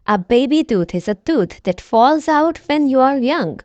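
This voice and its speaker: A woman's voice: high voice, expressive, a little slow, a little mellow, no echo. A woman voicing a kindly, reassuring mother pig in a children's storybook.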